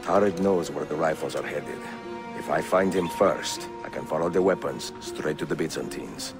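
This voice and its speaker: An actor playing a composed, middle-aged Italian master assassin in a video game, speaking in a measured, formal way with an Italian accent. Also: male voice, rumbling low, music in the background. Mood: focused. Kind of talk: video game cutscene acting.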